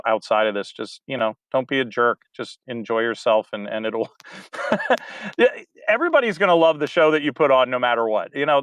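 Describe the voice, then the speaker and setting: A man's voice: medium pitch, a bit bright, clean tone, no room sound. A man, a community theater director, chatting casually as an interview guest, rambling a bit and laughing easily.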